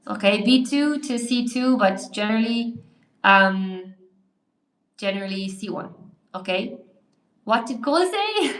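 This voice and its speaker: A woman with a fairly high voice teaches English live online, answering viewers' messages between quiz questions. She speaks in a chatty, encouraging way while she types. The sound is roomy, with noticeable echo. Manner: chatty, encouraging, reacts to chat while typing. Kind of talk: live-stream lesson reading viewer comments. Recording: echoey room.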